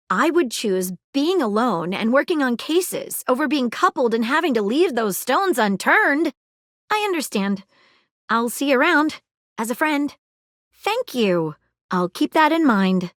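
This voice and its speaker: A formal, lively detective object. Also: female voice, fairly high pitch, dry recording, clean studio sound. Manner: formal, precise detective phrasing. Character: earnest female detective, a household object. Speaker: voice actor in a dating game. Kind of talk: game dialogue clips. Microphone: studio booth mic.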